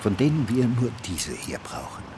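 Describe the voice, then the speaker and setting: A man's voice: medium pitch, big pitch swings, normal speed. An actor in a radio play playing a polite, self-assured, handy male stranger. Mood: courteous, confident. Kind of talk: naturalistic radio play dialogue. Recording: noisy outdoor street scene with vehicles.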